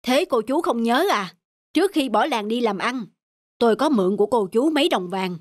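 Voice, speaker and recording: A man voicing a genial, boastful, newly rich village neighbour in an animated folk tale, expansive and self-satisfied. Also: very high-pitched, normal speed, no room sound, studio quality.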